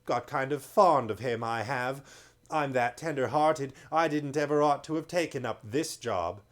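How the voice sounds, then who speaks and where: A man's voice: medium pitch, normal speed, fairly bright tone, lightly acted. A man voicing a wheedling, coarse male slave dealer in a read-aloud story. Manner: wheedling, cockney-ish slang.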